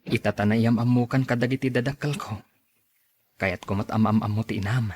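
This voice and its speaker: Man playing a soft-edged, breathy young Ilocano suitor. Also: mid-range voice, fairly even intonation, fairly quick, close to an everyday voice. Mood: apologetic, teasing. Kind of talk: Ilocano radio drama acting.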